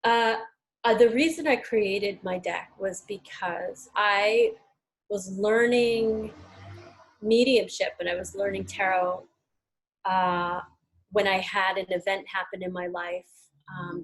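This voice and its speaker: A woman from New York with an American accent, talking casually as an interview guest, chatty with hesitant pauses. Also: medium pitch, fairly even intonation, low-quality recording. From New York, United States. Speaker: interview guest, tarot deck creator and artist.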